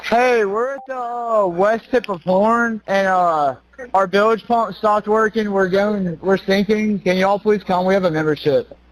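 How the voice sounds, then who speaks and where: High-voiced man on an emergency call. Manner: halting, hesitant. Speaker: emergency caller. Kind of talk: unscripted phone call for help. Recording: phone call on dispatch recording.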